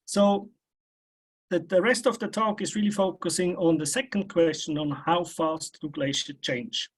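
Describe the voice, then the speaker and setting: Higher-pitched man presenting research. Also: fairly even intonation, unhurried, husky. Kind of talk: academic seminar talk with slides.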